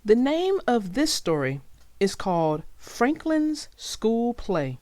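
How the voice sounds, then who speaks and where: A woman narrating a read-aloud story for young children with deliberate, emphatic phrasing. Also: a little high, big pitch swings, darkish tone, soft background music.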